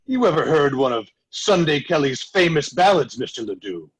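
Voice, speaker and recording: A man's voice: fairly high pitch, very animated, moderate pace, husky, rough recording. A man playing a mocking, sceptical outlaw rider with a folksy drawl in a western table read. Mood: laconic.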